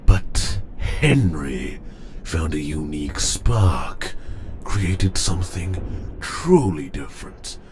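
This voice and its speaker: An older man acting a cold, bitter villain in a comic dub, with a deliberate, disdainful delivery. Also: low voice, very animated, a little mellow, a little hiss or hum, full character voice. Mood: brooding.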